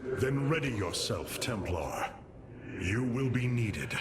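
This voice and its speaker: A man's voice: medium pitch, sing-song, slow pace, very noisy. A man playing a noble, resolute alien warrior leader in a video game, with formal, commanding, oratorical delivery. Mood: solemn encouragement. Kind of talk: cinematic video game acting.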